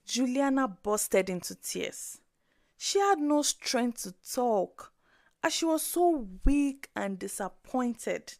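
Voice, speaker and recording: A woman narrating a scripted story in an expressive, dramatic way. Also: fairly high pitch, slow, no echo, clean studio sound.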